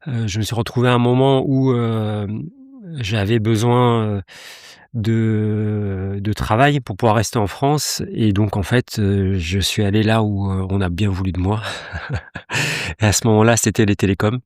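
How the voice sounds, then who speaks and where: Man, 50s, from Iran, lowish voice, dry close mic, hesitant and reflective podcast guest. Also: steady tone, unhurried, darkish tone, no echo. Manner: hesitant, many fillers and restarts, reflective. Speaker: guest, company director. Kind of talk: podcast interview.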